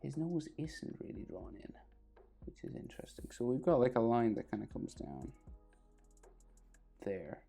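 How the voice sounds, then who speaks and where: A man with a sore throat and a crackly, gravelly voice, talking casually through a drawing tutorial, often trailing off to a near whisper. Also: medium pitch, sing-song, a little slow, long pauses, dark tone, faint music behind.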